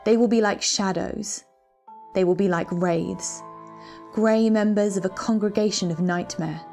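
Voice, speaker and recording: Female narrator for dark literary tale. Dramatic, ominous, measured phrasing, music underneath. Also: mid-range voice, steady tone, gravelly. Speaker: reader of a short story. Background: background music.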